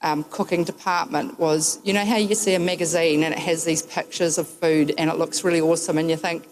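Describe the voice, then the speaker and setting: A woman's voice: low voice, steady tone, fairly quick, rough recording. A woman with a New Zealand accent giving a memorial tribute, telling wry, humorous anecdotes with frequent ums. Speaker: eulogy speaker.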